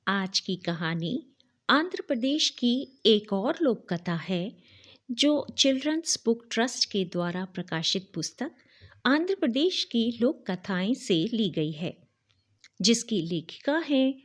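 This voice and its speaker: Slow, expressive woman storyteller. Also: medium pitch. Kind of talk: scripted storybook narration.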